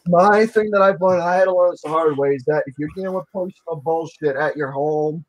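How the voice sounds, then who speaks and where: A man's voice: on the high side, brisk, no room sound, dull audio. A man chatting casually and handing out advice in an emphatic, somewhat preachy way. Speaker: podcast co-host. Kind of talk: casual chat, giving advice.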